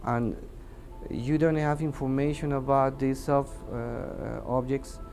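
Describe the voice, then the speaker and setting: A man's voice: medium pitch, fairly even intonation, takes it slowly, a little mellow. A man with a Mexican accent, a native Spanish speaker, giving testimony as an air traffic controller in hesitant, broken English, searching for words. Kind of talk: interview testimony in halting English. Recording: music under the voice. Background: music.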